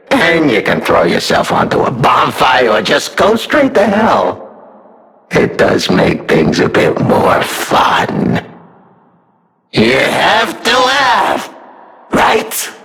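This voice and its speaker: An actor playing a manic, mocking, murderous puppet in a video game, with theatrical delivery that is gleefully unhinged. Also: male voice, medium pitch, huge pitch swings, slow pace, gravelly, heavily acted. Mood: mocking, bitter. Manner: theatrical, gleefully unhinged, swinging between hushed and shouted. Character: manic, mocking, murderous male puppet, self-styled king of riddles.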